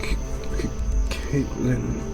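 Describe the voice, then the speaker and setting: A man's voice: extremely slow, recorded from a distance, loud music behind the voice. An actor playing a dazed, grieving man under a spell in a horror audio drama, hesitant and stammering. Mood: dazed, confused.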